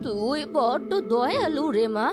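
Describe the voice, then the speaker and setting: A woman's voice: high voice, animated, a little slow, music in the background. A woman voicing a frail, kindly old woman in a cartoon, speaking in a plaintive manner. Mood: grateful. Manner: plaintive, pleading. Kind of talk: cartoon voice acting.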